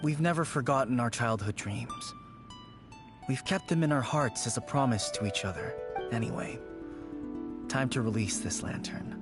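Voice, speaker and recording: A man's voice: mid-range voice, normal speed, long pauses, loud music behind the voice, lightly acted. A man playing a wistful grown-up childhood friend in a video game, reminiscing. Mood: nostalgic, gentle.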